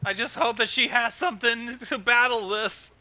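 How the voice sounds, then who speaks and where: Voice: medium pitch, normal speed, bright, forceful tone, muffled recording. A co-reader giving casual, wry and exclamatory commentary on a story reading.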